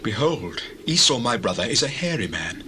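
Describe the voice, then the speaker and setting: An actor playing a dutiful yet deceitful younger son in a dramatized scripture reading, formal and cautious. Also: male voice, medium pitch, very animated, moderate pace, sharp tone. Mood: worried. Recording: noisy old recording.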